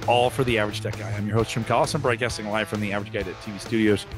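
A middle-aged man hosting a casual tech podcast, hoarse and raspy from allergies, rambling with fillers and restarts, on a boom-arm studio mic in a home studio. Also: fairly even intonation, rapid-fire, over music. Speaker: podcast host. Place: home studio room with shelves.

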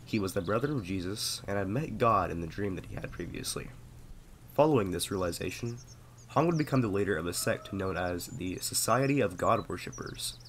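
A young man who is sick reads a scripted history explainer in a steady, explanatory way, then signs off casually. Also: deep voice, brisk, a little hiss or hum. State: sick, voice sounds off. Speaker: history video narrator. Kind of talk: scripted narration.

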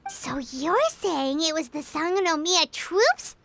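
A woman's voice: very high voice, big pitch swings, takes it slowly, vocal fry, fairly bright tone, dry recording, heavily acted. A woman voicing a tiny floating fairy companion girl who is chirpy and blunt and talks about herself in the third person, in video game voice acting.

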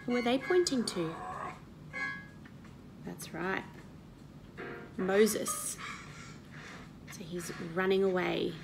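Lady reading a sunday school story to kids, explains as she goes, bit of creak, music behind her. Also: lowish voice. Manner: expressive, teacherly, asks the children questions. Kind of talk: children's storytelling read aloud with explanations. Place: indoor living room at home.

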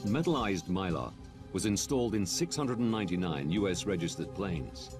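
A man reading scripted documentary narration in a measured, grave manner. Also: sits low, expressive. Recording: music bed behind narration.

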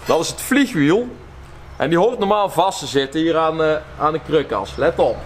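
A young man, a car mechanic hosting a workshop video, explaining engine damage in a chatty, lively way. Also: on the high side, normal speed, a little hiss or hum. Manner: lively, chatty, laughs often.

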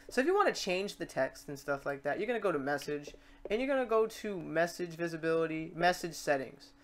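A tutorial presenter talking informally through software steps and thinking aloud while working. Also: medium pitch. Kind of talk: casual screen-recorded software tutorial.

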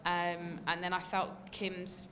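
English female coach in her 30s, low-pitched, candid and emphatic at a press desk mic, faint background hiss. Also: completely flat delivery, takes it slowly, fairly bright tone. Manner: candid, emphatic, many fillers. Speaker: football coach. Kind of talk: post-match press conference answers. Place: indoor press room before a sponsor backdrop.

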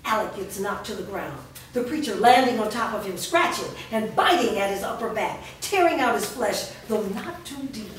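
A woman's voice: mid-range voice, lively intonation, normal speed, few pauses. Distant, echoing woman reading stage directions. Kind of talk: scripted narration at a staged reading. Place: bare studio stage room, indoors. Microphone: far camera. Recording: very echoey room, distant mic, faint noise.

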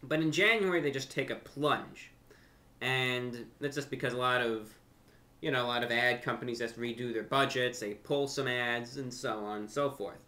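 Male, young adult, American. Bright, sharp-edged voice, mid pitch; earnest casual vlog host talking straight to camera. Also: steady tone, moderate pace. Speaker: host of a video channel and radio show. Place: furnished room at home.

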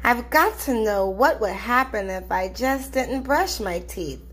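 A woman voicing a reluctant, curious little boy from a storybook, expressive and prone to complaint. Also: fairly high pitch, a little slow, slightly rough, exaggerated voice. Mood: grumbling.

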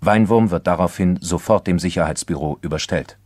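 A man's voice: low voice, brisk, darkish tone, dry recording, studio quality, faint music behind. A man narrating a radio crime play in a sober, factual scripted style, like a case report.

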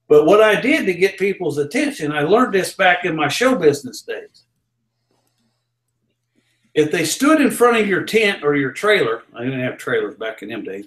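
An older man with a Southern American drawl spins yarns in a folksy, showman's way, his voice sitting a touch high for a man. He's caught by a distant camera in a small office with a roomy echo around him. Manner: folksy, loud carnival-barker storyteller. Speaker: interview guest. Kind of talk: storytelling in a casual interview. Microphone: far camera.

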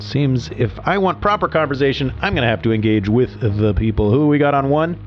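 A man performing a sardonic late-night call-in host in a radio drama. His delivery is theatrical and world-weary, with lively rises and drops. The sound is slightly dull, like a limited broadcast. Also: medium pitch, a little fast, soft background music, natural voice.

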